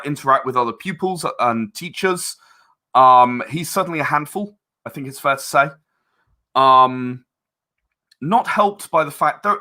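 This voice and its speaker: A man with a fairly high-pitched voice and a crisp, forward brightness. He talks casually as a guest explaining his work, often doubling back on words while he thinks. The delivery is conversational and halting. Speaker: interview guest, audio drama director and voice actor. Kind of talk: casual explanatory interview talk.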